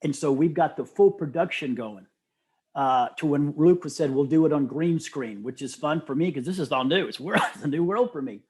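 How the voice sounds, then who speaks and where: A man's voice: on the high side, moderate pace. A middle-aged man talking casually and enthusiastically as an interview guest, telling stories and quoting others with emphasis. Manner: animated storyteller, often quoting others with emphasis.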